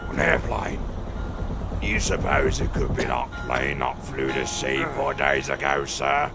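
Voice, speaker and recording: A gruff, indignant ship's mate in old-time radio style. The voice is extremely low and full of gravel, swooping widely with strong feeling, over loud music. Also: male voice, sing-song, a little slow, exaggerated voice. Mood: excited, alert. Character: gruff, indignant sailor, first mate of a fishing boat.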